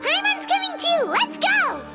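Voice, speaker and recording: A woman voicing a tiny, childlike fairy companion in a video game, squeaky, chirpy and excitable. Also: a little slow, in a large hall, over music, full character voice. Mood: excited.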